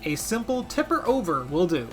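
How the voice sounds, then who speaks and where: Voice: medium pitch, big pitch swings, normal speed, over music. A video game commentator narrating a scripted gaming video with wry, playful asides.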